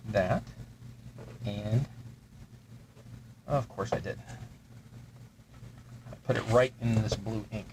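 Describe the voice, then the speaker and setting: A man's voice: fairly low pitch, creaky, darkish tone, slight background noise, low-quality recording. A man hosting a terrain-crafting channel, chatting casually and muttering to himself as he builds. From Texas. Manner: rambling, mutters to himself while working. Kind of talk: casual chat while building miniatures.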